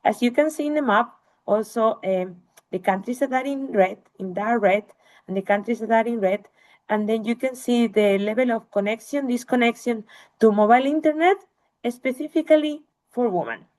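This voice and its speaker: A woman's voice: a little high, moderate pace, darkish tone. A woman researcher giving an academic webinar lecture, explaining slides to listeners.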